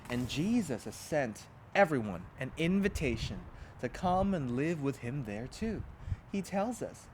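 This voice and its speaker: Guy reading a picture book to little kids, super animated and dramatic, some background noise behind him. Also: fairly high pitch, rough recording. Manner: dramatic, simple emphatic phrasing for small children. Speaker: pastor reading a children's storybook. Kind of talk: expressive storybook read-aloud with asides to young listeners.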